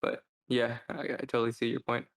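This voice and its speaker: A man in a casual co-hosted discussion, speaking in an even, fairly flat tone. He thinks aloud, hesitating and restarting as he works through his points like a reviewer among friends. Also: mid-range voice, brisk. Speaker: podcast co-host.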